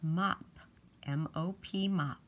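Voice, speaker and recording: A woman teaching young children, reading aloud and sounding out letters and words deliberately. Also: sits low, slight background noise. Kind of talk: storybook read-aloud and phonics lesson.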